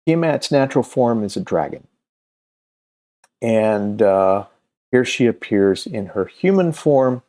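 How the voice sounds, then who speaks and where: A man presenting casually and unscripted as a comics video host, halting and often searching for his words. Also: fairly low pitch, big pitch swings, warm tone. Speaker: comics video host and creator promoting his own campaign.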